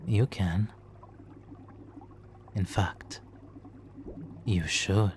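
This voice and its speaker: A man playing a smooth-talking supervillain nemesis in an ASMR roleplay, hushed, soothing and coaxing as he hypnotically seduces a captive hero. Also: low voice, noisy background. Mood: hypnotic, coaxing. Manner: slow, hushed, soothing, coaxing with soft chuckles. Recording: water trickling behind the voice.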